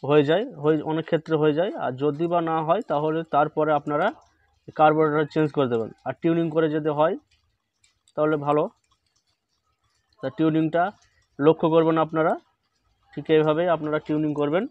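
A man hosting a hands-on repair tutorial, explaining each step slowly and repeating instructions, recorded on a phone indoors. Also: on the high side, little pitch movement, no room sound, phone-quality sound. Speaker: repair channel host. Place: indoor workbench.

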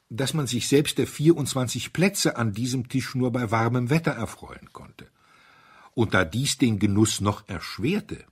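Low, husky male storyteller. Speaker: audiobook reader. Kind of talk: scripted literary narration.

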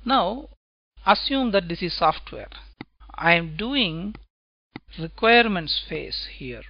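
A man teaching software testing in a screencast lecture, talking step by step and checking in with "right?" after his points. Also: sits high, lively intonation, vocal fry, no room sound, muffled recording. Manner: slow, deliberate explaining, tag questions "right?".

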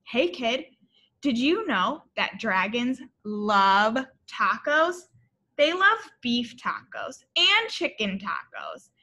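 Slow, bright-voiced woman reading to children. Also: a little high. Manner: expressive, deliberate, explaining to young children. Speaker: elementary teacher reading aloud. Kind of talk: children's picture-book read-aloud. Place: school classroom, indoors.

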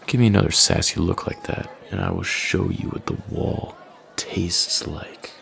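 A man playing a smug, menacing young male bully in an intimate audio roleplay, taunting with slow, deliberate threats in a deep, gravelly voice. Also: fairly bright tone, some chatter behind, full character voice. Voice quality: deep, gravelly, breathy at line ends.

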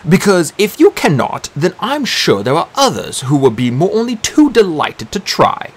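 A man's voice: high-pitched, very animated, moderate pace, husky, voice pushed up, heavily acted. A man voicing an impatient, scornful old male magician who snaps his demands in an audiobook. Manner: impatient, snapping.

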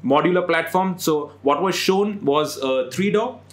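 A man's voice: fairly high pitch, a little slow. A man in his thirties with an Indian English accent, hosting a casual motoring podcast, explaining with enthusiasm and jokes. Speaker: podcast host, motoring editor. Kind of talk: casual chat. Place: studio with bookshelves and car photos.

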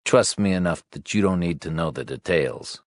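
A patient, world-weary detective in an audiobook thriller, acted by a male narrator. His voice sits low and stays calm and reassuring, with a dry understatement. The sound is close, with no room to it. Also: normal speed, dry recording. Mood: patient, tender.

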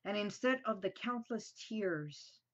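A woman in her fifties, originally from Iran with Armenian as her first language, hosting a reflective talk to camera from a small room at home and letting her phrases trail off softly. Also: medium pitch, lively intonation, muffled recording. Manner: soft and slow, trails off at phrase ends. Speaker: host.

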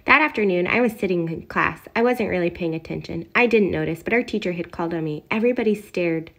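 A woman voicing an awkward, self-doubting young bean who tells his own storybook tale with earnest drama and pauses. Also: fairly low pitch, a bit bright. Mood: embarrassed.

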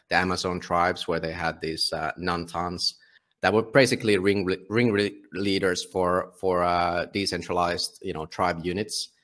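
The deep-voiced Finnish man you'd hear as a guest on an independent podcast, native in Finnish, speaking his mind bluntly and casually. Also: a bit bright. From Finland. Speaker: podcast guest, volunteer book publisher. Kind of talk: casual interview talk.

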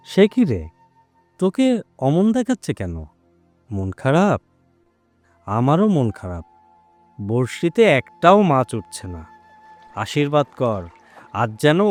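A man as slow, swooping idle village youth. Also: medium pitch, darkish tone, no room sound. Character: idle, easygoing young village man who loves fishing. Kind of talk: Bengali audio drama acting.

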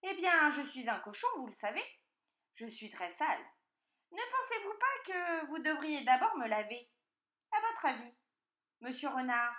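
A woman's voice: sits high, big pitch swings, unhurried, bright, forceful tone, roomy sound, pitched above the performer's own voice, full character voice. A woman voicing a cunning young male piglet in a children's storybook, politely pleading with false innocence. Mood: coaxing.

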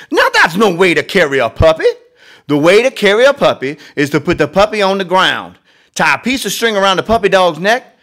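A man's voice: high-pitched, a bit bright, voice pushed up, full character voice. A man voicing an exasperated, scolding mother in a storybook, with emphatic delivery. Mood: frustrated scolding. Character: exasperated, scolding mama, loud and shrill.

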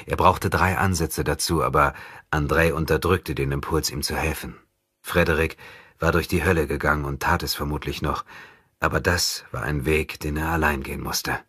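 Male audiobook narrator: extremely low, breathy-husky voice, measured dramatic reading, dry studio sound. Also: steady tone, pushed and bright, dry recording. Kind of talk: scripted dramatic literary narration.

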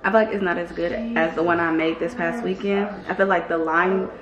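A young woman vlogging at home, chatting casually to a camera a few feet away, relaxed, with lots of "um" and "like". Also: fairly low pitch, a little fast, highs cut off, a crowd in the background. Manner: chatty and relaxed, many "um" and "like" fillers. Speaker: lifestyle vlogger. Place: bedroom or dressing room at home, indoors. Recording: roomy echo of a bare room.

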